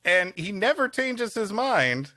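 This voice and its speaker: A man co-hosting a comedy podcast, riffing casually in an animated, mock-indignant way. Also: high-pitched, slow pace, dry recording, studio quality. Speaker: podcast co-host. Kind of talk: casual comic banter and riffing.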